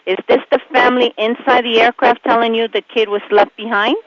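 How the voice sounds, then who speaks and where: An airport ramp controller speaking over the radio to a pilot, calm and businesslike. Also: medium pitch, fairly quick. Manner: calm, businesslike, matter-of-fact. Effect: aviation radio transmission. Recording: muffled radio with clear static.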